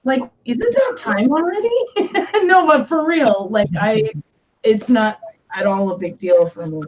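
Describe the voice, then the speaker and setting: A woman chatting casually in a group stream, giggly and quick with asides. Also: fairly high pitch, animated, darkish tone. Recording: lo-fi mic.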